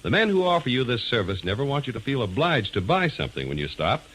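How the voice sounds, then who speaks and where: A man's voice: mid-range voice, very animated, fast talker, a bit bright, a little hiss or hum, rough recording. An American man announcing and narrating in a polished, emphatic mid-century radio style. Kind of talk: 1940s-50s scripted radio announcing and narration. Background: music.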